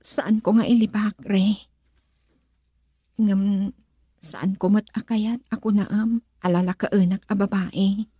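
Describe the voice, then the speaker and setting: A female actor with a Filipino accent and a breathy voice, playing a guarded, dutiful young woman in a romantic radio drama. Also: mid-range voice, steady tone, slow pace, long pauses, warm tone, dry recording, clean studio sound. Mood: torn, reserved.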